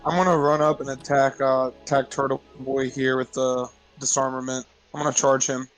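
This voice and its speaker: A man with an average-pitched voice plays a tabletop roleplaying game. He talks casually, thinking through his moves as he goes. Music plays softly underneath. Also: flat delivery, moderate pace, low-quality recording.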